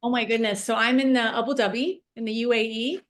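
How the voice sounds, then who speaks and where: A woman with an American accent joining a video call, talking eagerly with many pauses. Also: on the high side, steady tone. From United States. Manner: enthusiastic, halting with fillers. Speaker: caller joining a cook-along. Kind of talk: casual video-call chat.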